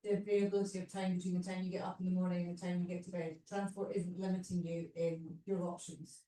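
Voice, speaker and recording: A woman's voice: lowish voice, little pitch movement, fast, dark tone, slight background noise. A woman hosting a live panel session, chatty and drawing in the audience. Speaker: host. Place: community cinema hall. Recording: roomy hall, mic at a distance.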